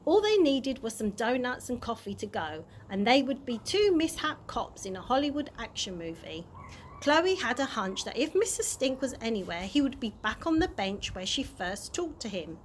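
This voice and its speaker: Animated woman reading a children's story. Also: fairly high pitch, moderate pace. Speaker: early years practitioner reading a story. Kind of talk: animated children's audiobook narration. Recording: clear background noise.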